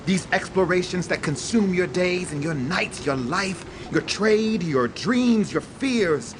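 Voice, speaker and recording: An actor playing an earnest young adult son, deferential and declamatory, in a comic radio theatre style. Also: male voice, high-pitched, expressive, slow pace, noisy background. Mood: devoted, pleading. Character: earnest, eager, adoring grown son.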